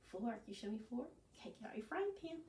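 A woman in her sixties presenting a children's storytime, expressive and often prompting the children with questions. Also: fairly high pitch, quick pace. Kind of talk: children's storytime, singsong chanting and read-aloud. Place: small furnished living room, indoors. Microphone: camera a few metres away. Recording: roomy, distant camera microphone.

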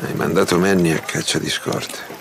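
Game biker: low, surly, curt, loud noise behind. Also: male voice, normal speed, gravelly. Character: surly, blunt male biker survivor.

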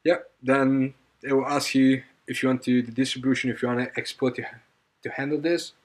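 A man presenting an informal software tutorial in a halting, hesitant way, often repeating phrases as he goes. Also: mid-range voice, level tone. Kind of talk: informal screen-recorded coding tutorial.

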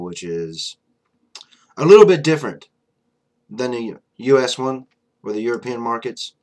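Blunt young man ranting to a webcam. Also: fairly low pitch. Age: young adult. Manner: blunt, conversational, many fillers like "you know". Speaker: vlogger. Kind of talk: casual political commentary to camera. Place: small room indoors, flag on wall behind. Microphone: webcam at desk.

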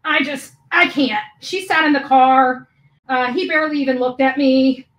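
A woman in her forties giving a halting, indignant personal vlog rant, repeating words for emphasis, recorded indoors in a small room. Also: high voice, fairly even intonation, fairly bright tone, poor audio. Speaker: YouTuber. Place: small hotel or bedroom, indoors.

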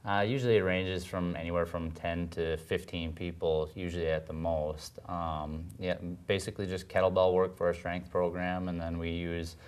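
Casting: male trainer, thirties, deep-pitched, informal talk show guest with halting delivery. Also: flat delivery, clean tone. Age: 30s. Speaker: guest, gym owner and trainer. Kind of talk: informal explanation in an interview. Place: small TV studio set, indoors.

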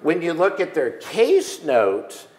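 A man's voice: a little high, wildly swooping pitch, takes it slowly, a little mellow, reverberant. A man in his sixties, a panel guest at a conference, talking emphatically with many restarts on a lapel mic. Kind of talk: conference keynote conversation. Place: stage in a large room, seated in armchair.

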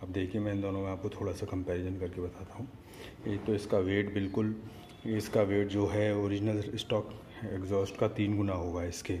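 A man's voice: deep voice, warm tone, a little hiss or hum. A man talking casually and conversationally, explaining something hands-on as a vlogger filming on a phone at home. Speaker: motorcycle vlogger. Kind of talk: casual product comparison vlog. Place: at home by marble staircase.

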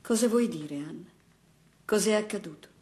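A woman's voice: medium pitch, animated, moderate pace, a little mellow, natural voice. A woman voicing a stern, questioning woman of a gloomy household in a dubbed film drama, firm and probing. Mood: gentle, firm. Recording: clear background noise, clicks.

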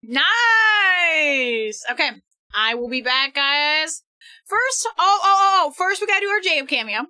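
A young woman live-streaming a game from home, excitable and quick to laugh and shout, and losing her voice. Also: high voice, dry recording, studio quality. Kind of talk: casual live-stream chat while gaming.

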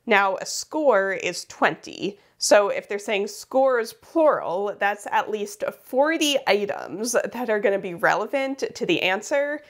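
A woman's voice: medium pitch, animated, slow pace, few pauses. A young woman vlogging about jigsaw puzzles in a chatty, upbeat way, laughing often, still a little sniffly from a cold. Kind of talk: casual chat to camera. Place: indoor studio with shelves.

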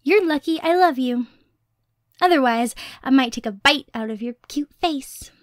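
A woman's voice: high voice, lively intonation, moderate pace, no echo, clean studio sound. A woman playing a dorky, affectionate young girlfriend in a casual ASMR roleplay, chatty and teasing as she rambles. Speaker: roleplay voice actor.

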